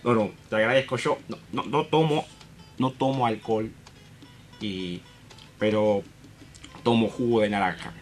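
A man's voice: fairly low pitch, unhurried, long pauses, faint music behind. A man with an Argentine accent giving comic football commentary as a guest analyst, dramatic and repetitive. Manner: dramatic rhetorical questions, repetitive.

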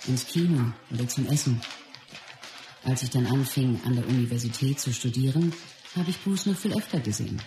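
An actress playing a reflective wife who recalls her love in measured memoir narration for a radio play. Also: rumbling low, rough recording. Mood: fond, reflective. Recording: loud string music under the voice.

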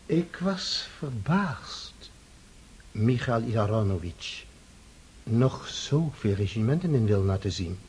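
An actor playing a stern, reproachful tsar who talks imperiously and coldly in a radio play. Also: male voice, lowish voice, sing-song, takes it slowly, a little mellow, breathy edge, full character voice.

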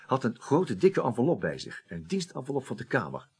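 An actor playing an evasive office superior under questioning in a Dutch radio play, hesitant, rambling and defensive. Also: male voice, mid-range voice, huge pitch swings, brisk, a little mellow, slightly rough, full character voice. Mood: puzzled recounting.

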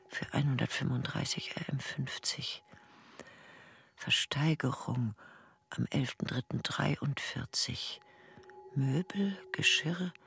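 A woman reads archival records for a documentary radio play at a very slow pace. Her voice is breathy and creaky, and she delivers each item in a sober, clipped way. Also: low-pitched, a bit bright, no room sound. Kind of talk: scripted reading of archival inventory records.

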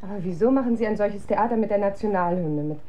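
An actress playing an observant, opinionated wife in naturalistic radio drama, conversational and pointed. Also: female voice, mid-range voice, quick pace, warm tone, lo-fi sound, close to an everyday voice. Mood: sceptical, dismissive.

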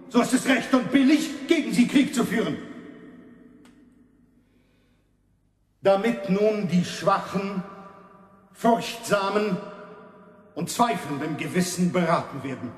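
Stern authoritarian preacher, high voice, slow emphatic delivery, didactic, declamatory radio drama. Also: male voice. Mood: condemning, righteous. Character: stern, authoritarian male reformation preacher defending rulers. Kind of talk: German radio play, declamatory sermon. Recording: echoey hall with long reverb.

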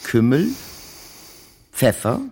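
An actor playing a polite, evasive merchant's clerk in a German radio play, with formal, deferential speech. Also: male voice, mid-range voice, very animated, drawn-out words, mellow, breathy edge, exaggerated voice, low-quality recording. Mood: accommodating.

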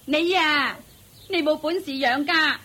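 An actress playing a bitter, disdainful wife fed up with poverty, scolding in Hong Kong Cantonese in a radio drama. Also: female voice, high voice, takes it slowly, heavily acted. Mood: bitter complaining. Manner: scolding, sharp-tongued.

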